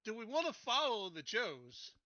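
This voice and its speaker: A man's voice: sits high, wildly swooping pitch, normal speed, sharp tone, no room sound, lo-fi sound. A man playing a tabletop roleplaying game, planning moves casually in a blunt, informal way.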